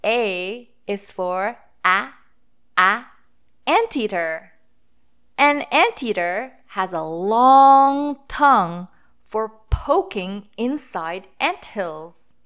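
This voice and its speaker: A woman hosting a children's phonics read-aloud, speaking deliberately and sounding out letters and words for young listeners. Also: mid-range voice, expressive, fairly bright tone, clear, resonant tone.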